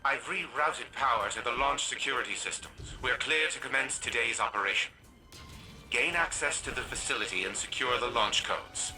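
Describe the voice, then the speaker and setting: A man's voice: mid-range voice, moderate pace, pushed and bright, soft background music, poor audio. A man playing a haughty elderly scientist patriarch in a video game, speaking formally and with precise, commanding diction. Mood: businesslike. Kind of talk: scripted game dialogue.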